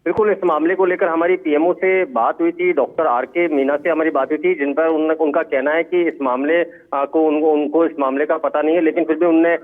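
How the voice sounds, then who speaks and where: Halting male reporter on a tinny line. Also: a little high, steady tone. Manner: halting, repetitive delivery. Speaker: field news reporter. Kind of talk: live unscripted news report. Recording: tinny phone-like radio-quality line.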